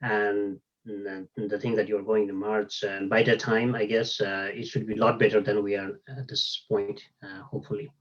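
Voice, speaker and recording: A man's voice: lowish voice, flat delivery, moderate pace, dull audio. A man hosting an online talk, thanking the guest and opening questions, with frequent fillers. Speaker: host. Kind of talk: online event moderation.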